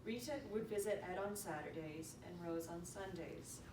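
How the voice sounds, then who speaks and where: A woman reading a prepared eulogy aloud in a storytelling way. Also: moderate pace. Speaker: eulogy reader. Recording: echoey room, distant mic, noisy rumble.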